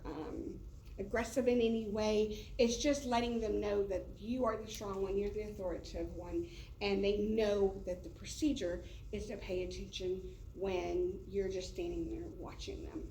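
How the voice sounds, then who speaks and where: A woman's voice: medium pitch, a little mellow, a little hiss or hum. A woman teacher talking casually to colleagues in a meeting room, loose and chatty with many fillers. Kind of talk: casual professional development talk. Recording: roomy echo, heard from a distance.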